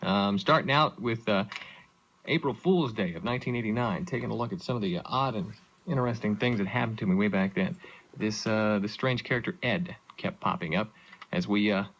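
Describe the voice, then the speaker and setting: A man's voice: on the low side, a little fast, fairly bright tone. A young American man working as a radio disc jockey with casual announcing and banter, heard on an old lo-fi aircheck. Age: young adult.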